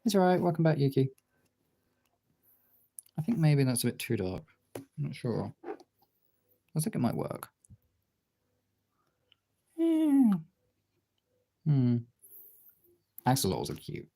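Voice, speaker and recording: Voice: medium pitch, huge pitch swings, slow, vocal fry, long pauses, warm tone. A streamer chatting casually while drawing, absent-mindedly thinking aloud in half-muttered remarks.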